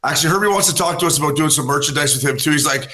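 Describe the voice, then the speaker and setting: A man's voice: fairly high pitch, racing, sharp tone. A man co-hosting a podcast, a former hockey player, rambling and joking in loose banter. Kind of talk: casual podcast chat.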